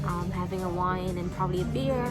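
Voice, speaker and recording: A woman's voice: lowish voice, fairly quick, loud music behind the voice. A woman chatting casually through a travel vlog, rambling with frequent fillers. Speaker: travel vlogger.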